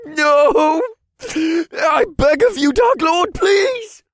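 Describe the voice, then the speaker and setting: A man's voice: very high voice, lively intonation, drawn-out words, fairly bright tone, no room sound, studio quality, pitched above the performer's own voice, heavily acted. A man voicing a terrified, grovelling male warlock in an audiobook, stuttering and pleading in panic. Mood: tearful terror.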